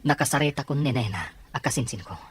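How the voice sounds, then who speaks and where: An actor with an Ilocano accent playing an earnest, protective grown son in a radio drama. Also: male voice, medium pitch, animated, quick pace, slightly rough. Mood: reassuring, grave.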